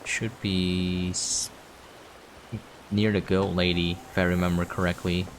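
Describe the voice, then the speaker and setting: A man's voice: low voice, little pitch movement, a little slow, a little hiss or hum. A man giving casual gameplay commentary as a streamer, rambling and thinking aloud as he plays. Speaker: game streamer. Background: game music.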